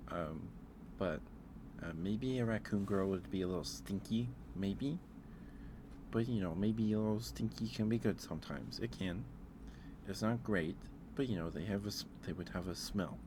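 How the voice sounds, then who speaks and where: A man with a low-pitched voice hosts a casual ASMR stream. He speaks softly and hesitantly, rambling as he thinks aloud. There is clear background noise on the recording. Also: moderate pace. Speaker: livestream host. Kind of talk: casual ASMR livestream chat, ranking aloud.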